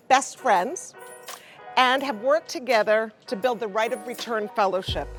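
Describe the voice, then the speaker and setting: Higher-voiced woman hosting, music underneath. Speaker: talk show host. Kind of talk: scripted introduction of panel guests.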